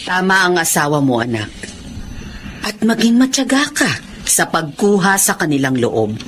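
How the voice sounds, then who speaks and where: A woman's voice: on the low side, big pitch swings, normal speed, slight background noise. A Filipino woman acting in a radio drama as a kindly, caring grandmother. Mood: advising. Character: kindly, caring grandmother welcoming her family.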